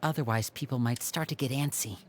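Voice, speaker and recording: A woman voicing a gentle, polite young hostess from a secretive household, heard as game audio. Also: a bass voice, a little fast. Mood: courteous. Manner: soft, courteous, welcoming. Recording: game audio with faint music.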